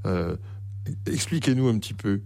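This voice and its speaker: Man, slightly low voice, radio host, interview presenting. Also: expressive, moderate pace, vocal fry, a little mellow, faint music behind.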